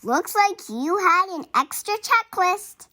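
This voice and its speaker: A kind storybook mother played by a woman who leads a girls' camping group. She speaks slowly and encouragingly, with plenty of rise and fall. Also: very high-pitched, animated, pushed and bright, pitched above the performer's own voice, full character voice.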